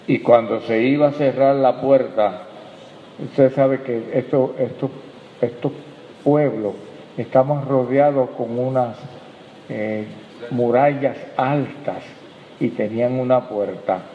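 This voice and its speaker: Slow-preaching pastor, man. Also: mid-range voice, slight background noise. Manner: preaching with emphatic rises and soft drops, addressing the congregation. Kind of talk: sermon. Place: church hall. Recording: tinny, echoey hall recording.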